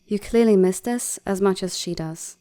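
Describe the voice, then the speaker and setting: A woman's voice: mid-range voice, moderate pace, background noise, close to an everyday voice. A woman playing an outspoken, protective bird doctor at an academy in a comic fandub, articulate, insistent and reproachful. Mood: concerned reproach. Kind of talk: dramatic comic fandub acting.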